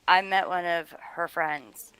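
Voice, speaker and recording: A woman co-hosting a casual live show, animated and blunt. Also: on the low side, slow pace, clean tone, dry recording, low-quality recording. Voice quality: slightly nasal. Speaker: co-host.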